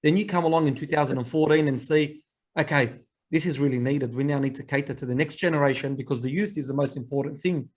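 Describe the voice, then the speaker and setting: A fairly high-voiced Australian man hosts a podcast. He speaks earnestly and heaps praise on his guest, running his points together in long, flowing sentences. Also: fast talker. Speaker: podcast host. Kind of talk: casual conversational interview.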